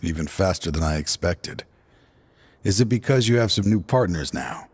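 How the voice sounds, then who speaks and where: An actor playing a weary, regretful older man turned selfish villain in a video game, with slow, brooding, sighing delivery and a husky voice. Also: deep voice, lively intonation. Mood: measured, knowing. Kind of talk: dramatic video game voice acting.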